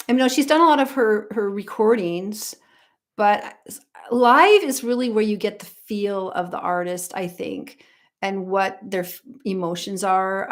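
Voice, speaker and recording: A middle-aged American woman, a vocal coach, chatting casually on a livestream and reading out viewer comments, rambling with lots of ums and restarts. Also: mid-range voice. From United States.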